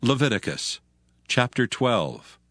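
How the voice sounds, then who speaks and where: A man's voice: medium pitch, very animated, slow, fairly bright tone, soft background music. A man narrating scripture from a script.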